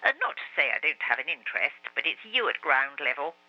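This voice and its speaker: A man's voice: on the high side, big pitch swings, a little fast, dry recording. A reader voicing a wisecracking, peevish bat with a slangy tough-guy drawl in a storybook reading. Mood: lazy. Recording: dull lo-fi radio recording.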